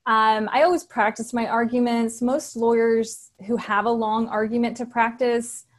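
Casting note: soft-spoken woman in her thirties, slightly high voice, relaxed chatty guest full of fillers. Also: normal speed. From Texas. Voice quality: higher voice. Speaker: business attorney guest. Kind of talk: casual interview talk.

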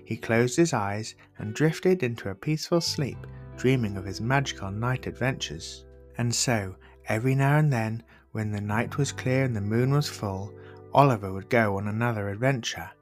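A man's voice: mid-range voice, moderate pace, faint music behind. A man narrating children's bedtime stories from a script as the storyteller.

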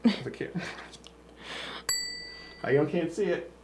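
A man's voice: fairly high pitch, lively intonation, a little slow, warm tone, slight background noise. A man hosting a casual comedy chat show, joking and rambling. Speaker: host.

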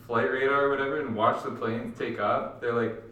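Young man, casual podcast chat, informal, slangy, medium pitch, very echoey room. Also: steady tone, fairly quick, bright, forceful tone. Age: young adult. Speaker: podcast co-host.

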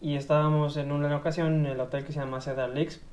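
A young woman with a Mexican accent talks on a podcast with a low voice. Her slang is crude and she jokes easily. She sounds loose and informal throughout. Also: flat delivery, fast, darkish tone, a little hiss or hum. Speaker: guest. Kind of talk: casual podcast chat.

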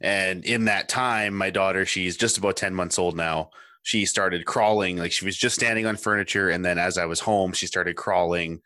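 A man in his late twenties chats in an upbeat way. His voice is low but bright and forceful. The sound is dry and close to the mic. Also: fairly even intonation, normal speed, no echo. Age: late 20s. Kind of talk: casual chat.

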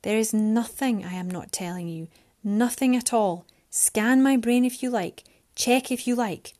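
A woman's voice: a little high, measured pace, a little mellow, close to an everyday voice. A woman voicing a defiant, frightened young woman who speaks with rising heat.

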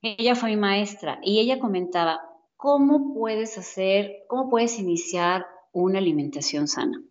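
A woman's voice: mid-range voice, lively intonation, measured pace, darkish tone. A woman explaining healthy habits in a casual chat, restarting phrases and adding fillers as she goes. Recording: dull lo-fi audio.

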